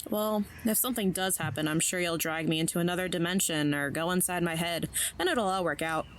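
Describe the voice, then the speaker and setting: Audio drama role: woman as an awkward teen girl, lowish voice, dry sarcasm, faint noise behind. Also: fairly quick, lightly acted. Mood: wry, deadpan. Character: wry, awkward schoolgirl, teenage. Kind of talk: naturalistic audio drama acting.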